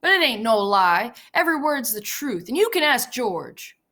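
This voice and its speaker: A woman's voice: a little high, big pitch swings, moderate pace, sharp tone, voice pushed up, heavily acted. A woman voicing a big, simple-minded, childlike male ranch hand who talks in an eager, pleading, repetitive way. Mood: earnest.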